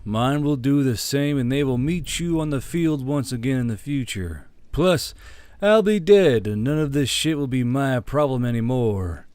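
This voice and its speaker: A man's voice: mid-range voice, animated, moderate pace, darkish tone, smooth, no room sound, natural voice. A man playing a weary, blunt, defiant military commander in a fantasy war audiobook.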